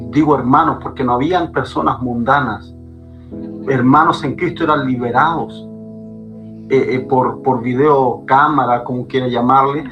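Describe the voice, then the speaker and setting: A man speaks as a pastor, fervent and insistent, circling back to the same words to stress them. His voice sits at an ordinary pitch for a man. Guitar music plays clearly underneath the whole time. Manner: fervent, repeats phrases for emphasis. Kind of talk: preaching guest in a podcast conversation. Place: Chile.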